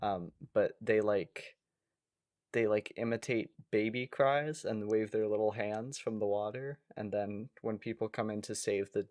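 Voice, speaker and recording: A man's voice: on the low side, fairly even intonation, unhurried, darkish tone, dry recording, studio quality. A man co-hosting a podcast in an informal, playful chat, prompting with questions. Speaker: podcast co-host.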